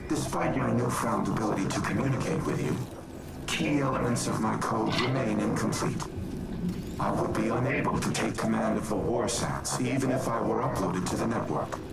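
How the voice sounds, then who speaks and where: Deep, gravelly war machine intelligence. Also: male voice. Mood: formal, resolute. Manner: formal, measured, grave. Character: ancient AI war machine, male, solemn protector. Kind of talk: scripted game cutscene dialogue. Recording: reverberant, music behind.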